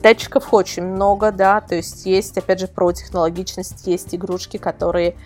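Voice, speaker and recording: A woman's voice: fairly low pitch, steady tone, moderate pace, a little mellow, soft background music. A woman giving an informal, detailed explanation as a guest expert, talking through examples. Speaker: guest expert, editor of a product review site. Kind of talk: informal explanatory interview talk.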